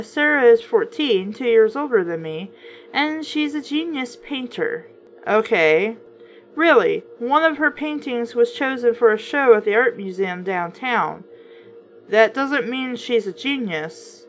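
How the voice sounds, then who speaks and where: A woman with a fairly high voice reads a children's book aloud with some music behind her. She is informal and chatty, dropping in wry, skeptical asides as host and reviewer. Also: poor audio. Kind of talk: casual read-aloud with offhand commentary.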